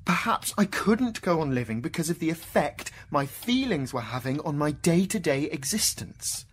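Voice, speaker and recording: An actor playing an anguished, logical mathematician in a radio drama, speaking emotionally and haltingly as he pleads to be understood. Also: a little high, sing-song, slight background noise. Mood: exasperated. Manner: emotional, halting, sighing delivery.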